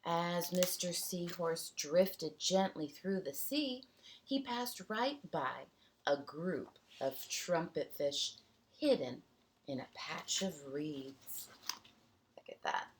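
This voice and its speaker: A woman's voice: on the low side, lively intonation, slow, slight background noise. A woman teacher reading a storybook aloud to young children, expressively, with little explaining asides.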